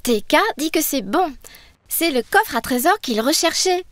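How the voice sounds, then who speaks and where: A woman's voice: high voice, lively intonation, a little slow, a bit bright, no room sound. A woman voicing an adventurous, curious young girl in a children's cartoon, speaking in an eager, exclamatory way. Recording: music under the voice.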